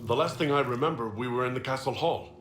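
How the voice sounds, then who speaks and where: An actor playing a steady, plain-spoken heroic leader in a video game cutscene. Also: male voice, mid-range voice, fast talker, reverberant, noisy background, natural voice. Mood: questioning. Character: resolute male fantasy hero, plain-spoken leader.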